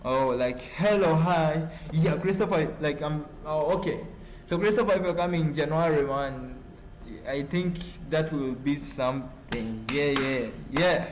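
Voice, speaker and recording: A man with a Ugandan accent chatting casually on a livestream as he answers viewers, in a halting, repetitive, earnest way. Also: on the high side, rough recording. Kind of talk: casual live chat with viewers, reading out messages. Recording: noticeable background noise.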